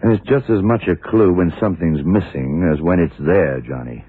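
An actor playing a shrewd, worldly, commanding male detective who explains deliberately, in the style of vintage radio drama. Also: sits low, breathy edge. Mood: patient, knowing. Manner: deliberate, hard-boiled explaining. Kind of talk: 1960s-70s radio drama acting. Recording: muffled, narrow-band vintage radio recording.

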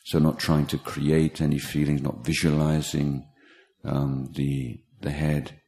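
A man teaching guided meditation, speaking in a halting, measured way with pauses between short phrases. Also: very deep voice, level tone, warm tone. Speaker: meditation teacher, Buddhist monk. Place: meditation retreat talk in Thailand.

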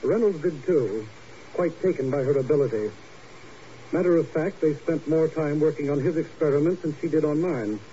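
A slightly muffled vintage radio recording features a man playing a self-assured scientist. The voice is very dark and soft-toned though a touch high, with clipped, pompous, dismissive phrasing in 1950s radio drama style. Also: normal speed, a little hiss or hum, lightly acted.

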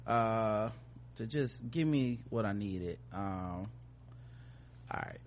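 A man's voice: fairly low pitch, slow pace, slight background noise. A man hosting a podcast with casual comedic commentary, joking in slangy, profane language. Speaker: podcast host.

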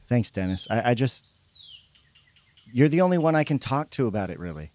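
A man's voice: mid-range voice, sing-song, fairly quick, darkish tone, close to an everyday voice. An actor playing a troubled, guilt-ridden young churchgoing man, speaking hesitantly and stammering in a naturalistic audio drama. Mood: subdued, ashamed.

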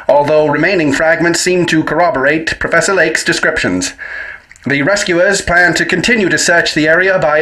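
Formal 1930s newsreader, bright, somewhat high voice. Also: male voice, rough recording. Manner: formal, measured announcer delivery. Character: 1930s male wireless newsreader. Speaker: news announcer. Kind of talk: 1930s radio news reading.

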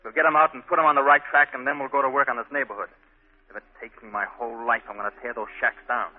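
A man's voice: mid-range voice, little pitch movement, very fast, lightly acted. An actor playing an earnest, resolute young neighborhood man in a 1940s radio drama, firm and determined, with an American accent. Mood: determined, reassuring. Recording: tinny, band-limited 1940s radio recording.

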